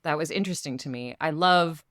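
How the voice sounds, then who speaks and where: Measured, lower-pitched woman in an interview. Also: a bit bright, no echo, studio quality. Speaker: actress. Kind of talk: casual interview chat.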